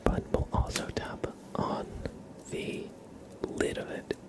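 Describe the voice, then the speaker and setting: An ASMR livestream host whispering casually to viewers in a soft-spoken, unhurried way.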